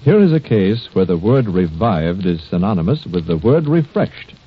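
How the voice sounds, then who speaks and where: American 1940s radio announcer man, lively pitch. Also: moderate pace. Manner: polished, formal phrasing. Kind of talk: 1940s radio music program announcing, scripted narration. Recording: dull, noisy 1940s radio recording.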